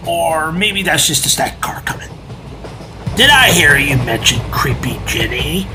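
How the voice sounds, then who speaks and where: A man's voice: on the high side, very animated, measured pace, over music. A man voicing a gloomy, eccentric cartoon sea pony doomsayer in an ominous, theatrical, portentous manner. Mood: ominous, wistful. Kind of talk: animated cartoon voice acting.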